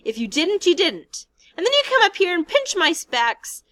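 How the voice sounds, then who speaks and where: A woman's voice: very high voice, very animated, moderate pace, voice pushed up, exaggerated voice. A woman voicing a stern boy leader of a stranded group, speaking sharply and firmly. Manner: sharp, firm.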